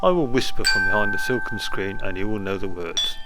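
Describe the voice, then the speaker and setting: A man voicing a Mandarin's calm, clever daughter in a story reading, giving quiet, measured counsel. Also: fairly low pitch, heavy background noise.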